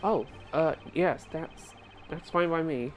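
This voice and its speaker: A man's voice: fairly high pitch, takes it slowly, soft background music. A man dubbing a flustered young male defense lawyer in a game, stammering often. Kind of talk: fan dub of a game.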